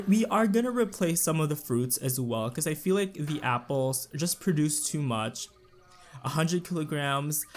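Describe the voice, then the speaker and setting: A man hosting a casual let's play, talking through his choices with a slightly raspy voice and lots of fillers and restarts. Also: medium pitch. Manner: chatty, thinks aloud with fillers and restarts. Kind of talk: casual gameplay commentary.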